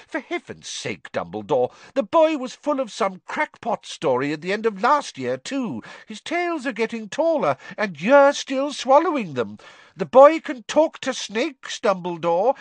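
A man's voice: sits high, sing-song, unhurried, no echo, pitched above the performer's own voice. A man voicing a blustering, pompous, stubborn middle-aged minister, talking in an indignant rush. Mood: angry.

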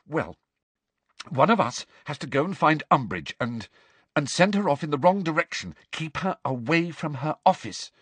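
A man's voice: fairly high pitch, sing-song, moderate pace, fairly bright tone, husky, no room sound, pitched above the performer's own voice, exaggerated voice. A man voicing an anxious, insistent, clever teenage girl in an audiobook, pleading and precise. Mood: anxious, brisk. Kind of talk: audiobook character voice.

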